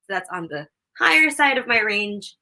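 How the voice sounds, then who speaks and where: A young woman with a low mezzo voice, chatting loosely with lots of fillers while reviewing a microphone up close in a home room. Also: big pitch swings, moderate pace, sharp tone. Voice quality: low mezzo voice, crisp consonants. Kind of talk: casual spoken review. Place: untreated home room, not soundproof. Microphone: studio USB condenser microphone close up.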